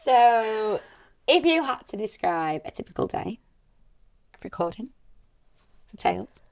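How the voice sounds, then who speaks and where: A woman's voice: on the high side, sing-song, measured pace, gravelly, a little mellow. A woman, an actress, talking casually in a podcast conversation.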